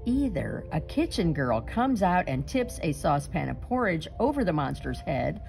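A woman reading a children's picture book aloud expressively, with deliberate, dramatic emphasis and suspenseful pauses. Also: sits low, big pitch swings, vocal fry, darkish tone, faint music behind.

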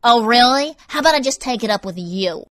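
A woman's voice: mid-range voice, normal speed, a bit bright, no echo, voice pushed up, close to an everyday voice. A woman voicing a hot-tempered, sarcastic, foul-mouthed young man in a story narration. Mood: angry, demanding.

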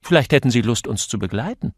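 A man voicing a witty, teasing gentleman sleuth in an audiobook, with an airy, flowery, mock-lecturing manner. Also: mid-range voice, expressive, fast, slightly rough, dry recording, studio quality. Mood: amused, lecturing.

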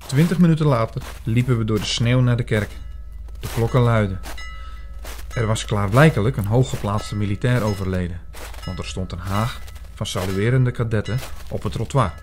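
A man playing an earnest investigator who tells his own story in an audio drama, in a measured way. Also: on the low side, a little mellow, lightly acted. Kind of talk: scripted audio drama narration.

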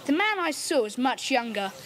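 A woman's voice: high-pitched, very animated, normal speed, a bit bright. A woman playing a bossy young boy leader. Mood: decisive. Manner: decisive, bossy. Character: boy Peter, the group's leader.

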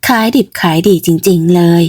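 Middle-aged storybook mother, acted by a woman: lowered pitch, gentle, chatty, slightly fretful. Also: normal speed, natural voice. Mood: mild. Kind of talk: storybook character voice.

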